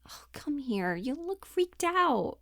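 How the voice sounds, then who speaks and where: A woman's voice: sits high, big pitch swings, slow pace, fairly bright tone, no echo, studio quality, pitched above the performer's own voice, full character voice. A woman playing a pushy, falsely upbeat Hollywood talent agent in a comedic monologue, coaxing, flippant and over-reassuring. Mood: breezy reassurance. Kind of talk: dramatic audition monologue, comedic.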